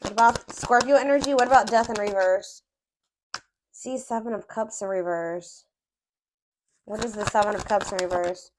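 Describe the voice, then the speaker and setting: A woman's voice: mid-range voice, moderate pace, pauses often, background noise. A woman giving a casual, improvised tarot reading, thinking aloud and rephrasing herself as she goes. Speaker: tarot reader.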